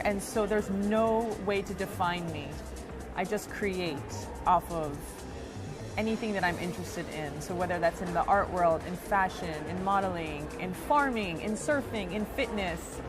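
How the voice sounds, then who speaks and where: An American woman telling her personal story in an interview, earnest and thoughtful, in short phrases with pauses. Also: mid-range voice. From United States. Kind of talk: reflective personal account. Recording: music bed underneath.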